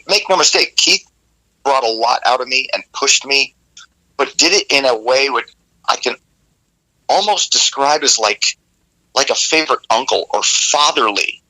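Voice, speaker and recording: A man, a rock vocalist, telling studio stories in a candid, animated way in an interview, with casual swearing. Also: medium pitch, low-quality recording.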